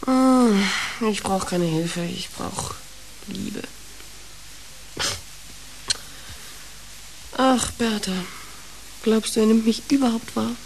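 A woman's voice: fairly high pitch, slow pace, gravelly, darkish tone, slight background noise, poor audio. An actress playing a patient, yearning niece who looks after her uncle in a German radio play. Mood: wistful.

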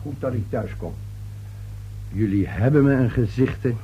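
A man's voice: mid-range voice, unhurried, lo-fi sound, lightly acted. An actor playing an irritable, domineering middle-aged father and businessman in a radio play. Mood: startled, impatient. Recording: hummy, noisy old radio recording.

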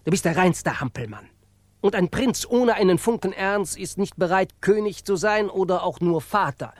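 An actor playing a grumbling, exasperated wizard mentor in a radio play, theatrical and solemnly prophetic. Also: male voice, sits high, expressive, normal speed, dry recording. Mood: irritated.

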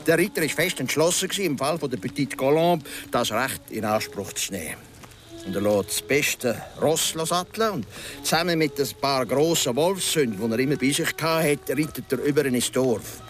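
An actor playing a philosophising local guide and storyteller in a Swiss German radio drama, speaking in a deliberate, dramatic way. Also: male voice, medium pitch, lively intonation, soft background music, natural voice. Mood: grave, dramatic. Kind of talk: radio drama, telling a folk legend.

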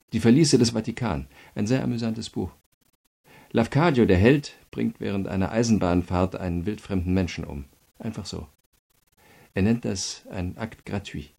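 Radio crime drama prosecutor: low, creaky, subdued timbre, calm but insistent interrogator. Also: male voice, exaggerated voice. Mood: measured, explaining. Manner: measured, insistent, pointed questioning. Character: calm, probing male state prosecutor interrogating a prisoner.

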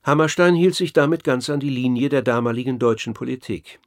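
Guy narrating a radio documentary, measured clear essay style reading, dry studio sound. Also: medium pitch, no room sound.

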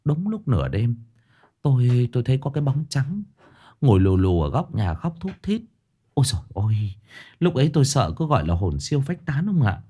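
Picture a frightened older village wife, stammering and hurrying her words in a shaky, anxious voice, as a male storyteller puts her on. Her voice rises and falls in lively swoops. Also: medium pitch, slightly rough, pitched above the performer's own voice. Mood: fearful. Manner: stammering, hurried and fearful.

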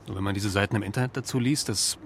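A man's voice: on the low side, very fast, a little hiss or hum, lightly acted. A man in a radio drama playing an ordinary, doubting, reluctant sidekick with a questioning, down-to-earth manner. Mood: doubtful. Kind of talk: naturalistic German radio drama acting.

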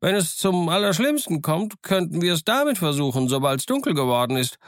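A man's voice: fairly high pitch, big pitch swings, a little fast, dry recording, clean studio sound, voice pushed up. A man voicing an eccentric old marooned sailor who rambles on insistently. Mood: chattering. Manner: rambling, insistent, winking confidentially.

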